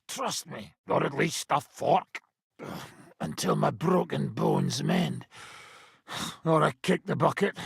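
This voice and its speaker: Gravelly, raspy old mercenary captain. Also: male voice, fairly high pitch, fairly bright tone, dry recording, studio quality, heavily acted. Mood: weary, grim. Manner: blunt, sardonic, commanding, swearing. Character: gruff, foul-mouthed ageing medieval mercenary band leader. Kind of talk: video game voice acting.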